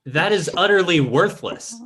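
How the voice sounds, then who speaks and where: An actor playing a sly, imperious fake psychic and crime boss in an old-time radio drama, speaking in a pompous, formal, commanding way. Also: male voice, high voice, expressive, moderate pace, a bit bright, a little hiss or hum. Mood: stern, domineering.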